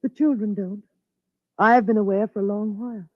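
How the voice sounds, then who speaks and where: An actress playing a guarded, devoted android wife with a measured, wistful and formal delivery in a 1950s radio drama. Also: female voice, medium pitch, fairly even intonation, dry recording, lightly acted. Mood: quietly sorrowful. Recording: tinny, narrow old radio recording.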